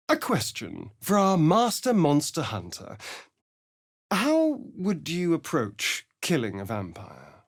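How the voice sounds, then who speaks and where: A man's voice: medium pitch, no echo, clean studio sound. An actor playing a sly, vain male vampire rogue in a video game, with an arch, sardonic, theatrical drawl. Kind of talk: video game character voice lines.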